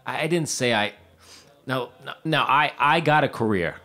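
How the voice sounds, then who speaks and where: An actor playing an eager, deluded struggling screenwriter in a period audio drama, fast-talking and stammering as he pleads. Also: mid-range voice, pushed and bright, close to an everyday voice. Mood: defensive, hopeful.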